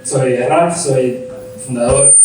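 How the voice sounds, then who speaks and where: A man's voice: medium pitch, dark tone, faint music behind. Brazilian man with an Argentine-tinged accent, echoey. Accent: Brazilian Portuguese with Argentine Spanish. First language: Portuguese. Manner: reflective, unhurried storytelling. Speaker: studio founder, interview guest. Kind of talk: casual interview. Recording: echoey room, distant mic, faint hum.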